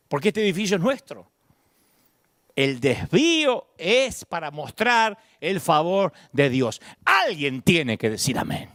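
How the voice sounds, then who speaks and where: A middle-aged Argentine pastor with a porteño accent delivers an emphatic sermon. His fairly high voice leaps through a very lively pitch range. The sound is dry and close, with no room echo. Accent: Argentine Spanish, Buenos Aires. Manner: expressive preaching, swings between high emphatic peaks and low asides.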